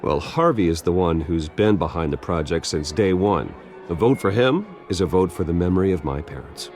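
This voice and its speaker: A composed, wry young billionaire in a game drama. His very deep, creaky voice moves expressively while he stays polite and guarded. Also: lively intonation, normal speed, a little mellow, soft background music. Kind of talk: dramatic video game dialogue.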